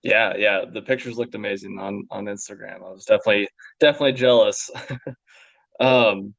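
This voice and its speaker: A man hosts a podcast with an easygoing manner, asking open questions and laughing along. His voice is fairly low and gravelly with plenty of vocal fry. The sound is dry and muffled, like a lo-fi home recording. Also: fairly even intonation, fairly quick, a bit bright, no echo. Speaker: podcast host. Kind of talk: casual interview.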